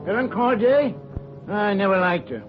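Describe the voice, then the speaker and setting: A brash union steward in an old radio drama. His voice is on the high side and swings around a lot, colloquial and defensive. The recording is dull and lo-fi. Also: lively intonation, normal speed, loud music behind the voice. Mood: brash, offhand. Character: brash, evasive union steward, fast-talking city man. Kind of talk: 1950s radio drama acting.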